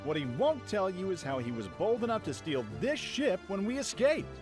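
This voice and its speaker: A brash, cheerful young male pirate, as an actor plays him in a radio drama. The voice sits fairly high and swings widely in pitch, casual and cocky, with a score behind it. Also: big pitch swings, moderate pace. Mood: cheerful teasing. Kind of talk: fan radio-play acting. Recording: music behind the voice.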